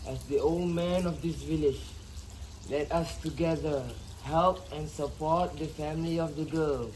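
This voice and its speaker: A man playing an earnest old village elder in an amateur play, addressing people formally and haltingly. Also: fairly high pitch, steady tone, a little mellow, slight background noise, natural voice, poor audio.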